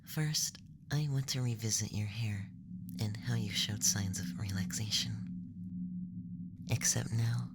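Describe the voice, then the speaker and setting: A man voicing a cool, analytical alien scientist in a whispered ASMR roleplay, measured and detached with a sly teasing streak. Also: lowish voice, fairly even intonation, vocal fry. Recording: loud background noise and ambience.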